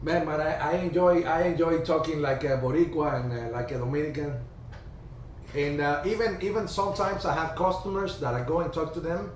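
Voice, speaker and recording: A man, hosting a casual ham radio video chat and telling stories in a chatty way, with halting restarts. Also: fairly high pitch. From Cuba. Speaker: ham radio livestream host. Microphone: video call. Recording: distant roomy video-call audio, faint hiss.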